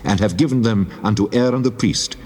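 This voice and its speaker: Scripture drama: God as stern lawgiver, male actor, low, measured, declamatory, heavy reverb. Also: a little mellow, natural voice. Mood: solemn, commanding. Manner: solemn, declamatory, measured delivery. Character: the Lord God, solemn commanding male lawgiver. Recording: long cavernous reverb.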